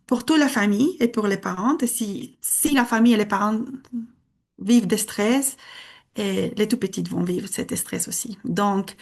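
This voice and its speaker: A woman's voice: mid-range voice, a little mellow. Woman presenting. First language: Spanish. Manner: earnest, explanatory, hesitant. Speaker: conference speaker. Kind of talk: conference presentation.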